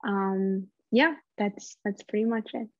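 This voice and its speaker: A woman's voice: mid-range voice, slow, no echo, muffled recording. A woman from Northern California introducing herself on a panel, chatty, with lots of ums and self-corrections. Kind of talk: personal introduction.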